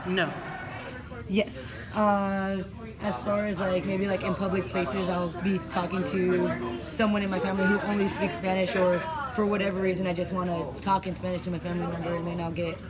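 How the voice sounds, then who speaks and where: A woman in her thirties, a teacher, answering interview questions in a thoughtful, measured way, filmed in a classroom office. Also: fairly low pitch, little pitch movement, a loud crowd. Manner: thoughtful, measured, mixes in fillers.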